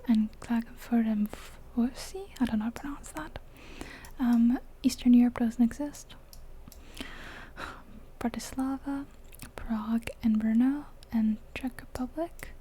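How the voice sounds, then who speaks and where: Softly whispering woman, mellow and breathy. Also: a little high, a little hiss or hum. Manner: soft whisper, rambling and chatty. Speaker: ASMR gaming creator. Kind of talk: whispered ASMR gameplay commentary.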